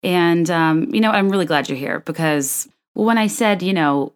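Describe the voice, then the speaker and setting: A woman in her thirties hosting a solo podcast, chatting in a wry, rambling way with a low, soft late-night voice. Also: normal speed, clean tone. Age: 30s. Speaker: podcast host. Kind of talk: casual solo podcast chat.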